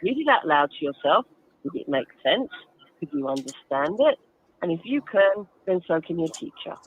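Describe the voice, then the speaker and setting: A woman's voice: on the low side, big pitch swings, normal speed, husky, phone-quality sound. A woman giving explanatory interview answers as a guest, instructive and emphatic, with everyday examples. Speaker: guest author and reading advocate.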